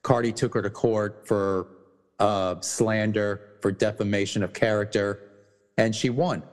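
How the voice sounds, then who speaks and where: A man co-hosting a casual podcast, talking bluntly with many restarts and swearing, his voice going hoarse. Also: fairly low pitch, fairly even intonation, measured pace, in a large hall. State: losing his voice. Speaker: podcast co-host.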